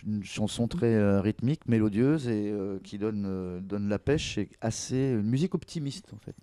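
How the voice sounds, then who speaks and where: A male radio host with a low, very mellow voice. He asks questions in a conversational interview manner, recorded close and dry. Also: moderate pace, no room sound.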